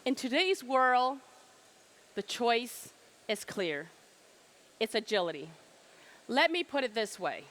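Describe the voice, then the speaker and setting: A woman's voice: on the high side, big pitch swings, slow pace, long pauses, fairly bright tone. A middle-aged woman from Cambodia giving an emphatic keynote presentation at a trade fair and asking rhetorical questions.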